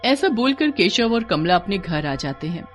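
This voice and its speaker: A woman's voice: mid-range voice, sing-song, normal speed, soft background music. A woman storyteller reading scripted narration for a cartoon tale.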